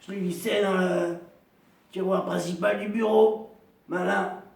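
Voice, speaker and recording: A man doing a gravelly boozy middle-aged woman. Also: steady tone, roomy sound, far from the mic. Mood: rambling. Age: 50s. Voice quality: gravelly, deep voice. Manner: slow, rambling, repetitive, self-pitying. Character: gravelly 55-year-old woman, chain-smoking hard drinker, proud and lonely. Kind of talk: comic character monologue.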